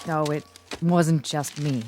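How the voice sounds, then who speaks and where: A woman's voice: low voice, fairly even intonation, takes it slowly, darkish tone, a little hiss or hum. A woman playing an earnest, modest codebreaking assistant in a radio drama, eager and slightly hesitant.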